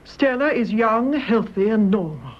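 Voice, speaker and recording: An actress playing a composed, forgiving older English mother-in-law in a radio drama, measured and dry. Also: fairly high pitch, animated, dull audio. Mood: dry, understanding. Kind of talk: British radio drama acting.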